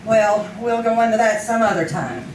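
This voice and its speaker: Lady reporter, played by a stage actress: wry, needling, high voice, old-time radio delivery, echoey live theater. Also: normal speed, a little mellow, natural voice. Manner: teasing, knowing, pointed. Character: wry, catty, sharp-tongued female police-desk reporter. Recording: distant, echoey live stage, faint noise.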